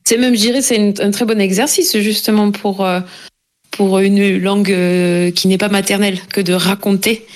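A woman's voice: mid-range voice, steady tone, moderate pace. Thoughtful woman interviewer.

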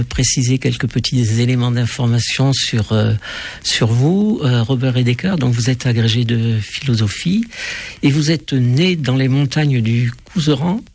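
A male radio host with a gravelly, slightly raspy voice at a middle pitch. He introduces his guest and asks formal, measured questions, padding them with hesitant fillers. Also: darkish tone. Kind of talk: radio interview.

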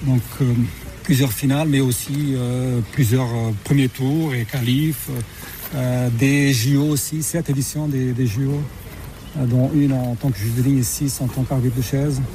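A man's voice: medium pitch, steady tone, measured pace, dark tone, a little hiss or hum. A middle-aged man from Portugal, a tennis referee being interviewed, talking with many hesitations and restarts. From Portugal, born in Mozambique, raised in Lisbon. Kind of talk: radio interview, recounting career.

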